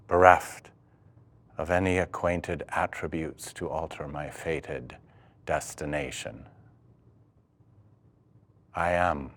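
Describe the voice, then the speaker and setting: Male, low and unhurried, playing a remorseful, solemn stage figure reciting formal verse. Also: fairly bright tone, heavily acted. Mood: desolate. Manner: deliberate, formal, dramatic. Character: grave, remorseful man reciting a poetic farewell. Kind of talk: theatrical poetry performance. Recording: faint music underneath.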